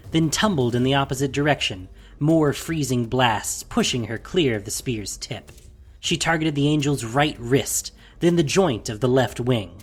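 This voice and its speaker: Male narrator, breathy and husky, mid-pitched, dramatic, deliberate, scripted fantasy story, soft music behind.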